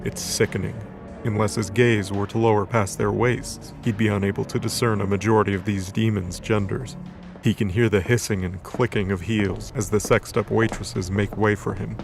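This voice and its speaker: A man's voice: fairly low pitch, fairly even intonation, moderate pace, creaky. A man reading scripted, dramatic narration for an audio drama story. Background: background music.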